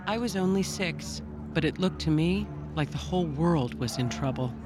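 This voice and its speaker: Male actor as disaster survivor, slightly high voice, dramatized documentary memoir over clear music. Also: lively intonation, moderate pace, close to an everyday voice. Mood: somber recollection. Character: survivor recalling a childhood refugee evacuation. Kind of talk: scripted dramatic first-person narration.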